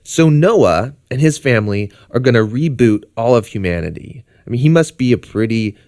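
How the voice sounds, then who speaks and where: A man's voice: medium pitch, animated, normal speed, a little mellow. A man co-hosting an explainer, narrating in a casual, conversational and plain-spoken way. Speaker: co-host.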